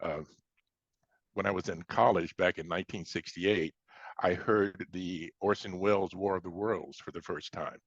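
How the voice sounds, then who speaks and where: A man's voice: low-pitched, fairly even intonation, moderate pace, no room sound, highs cut off. An American man in his seventies from Chicago talking casually as an interview guest, hesitating and repeating words as he reminisces. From Chicago, United States. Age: 70s.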